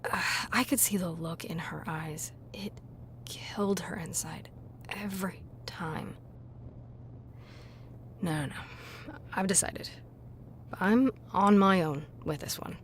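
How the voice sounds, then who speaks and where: Man acting a slow, weary, despondent young woman. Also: sits high, a little hiss or hum, pitched above the performer's own voice. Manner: halting, sighing, downcast.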